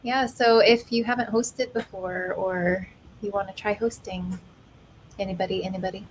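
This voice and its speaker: A woman's voice: medium pitch, steady tone, moderate pace, rough recording. Encouraging woman chatting over faint noise. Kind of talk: casual chat.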